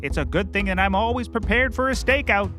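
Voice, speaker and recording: A man's voice: high voice, lively intonation, brisk, over music. An actor playing a bumbling, eager and clueless male private eye in a scripted comedy, chatty and naively confident. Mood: busy planning. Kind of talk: scripted comedy audio drama.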